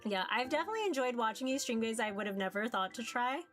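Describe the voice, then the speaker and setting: A woman livestreaming visual novels, chatting casually in a rambling, halting way. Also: a little high, animated, fairly bright tone. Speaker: streamer playing visual novels. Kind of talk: casual livestream chat, reading game text aloud. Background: background music.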